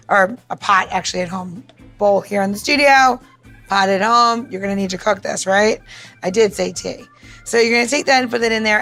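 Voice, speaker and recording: Creaky-voiced woman explaining casually. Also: mid-range voice, fairly bright tone. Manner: playful, informal. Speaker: podcast host, drink mixer. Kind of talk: casual instructional chat.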